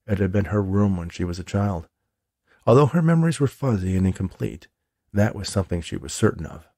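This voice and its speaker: A man's voice: fairly low pitch, warm tone, slightly rough, no room sound, studio quality. A man who is an author, narrating a chapter of his own story from the page. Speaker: author reading own book.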